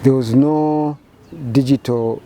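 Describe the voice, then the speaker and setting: A Zambian man in his fifties speaks slowly and thoughtfully, stopping often and repeating words as he gathers his thoughts. His voice is mellow and dark. Faint traffic noise hums behind him. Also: mid-range voice, fairly even intonation. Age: 50s. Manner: measured, halting phrasing with repeated words and fillers. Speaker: painter and photographer interviewee. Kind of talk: reflective interview answers.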